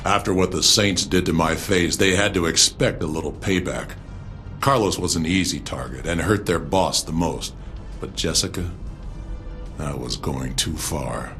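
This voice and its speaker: An actor playing a proud, vengeful gang boss with a cold, controlled menace in a scripted video game monologue. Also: male voice, low-pitched, unhurried, a bit bright. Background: music behind the voice.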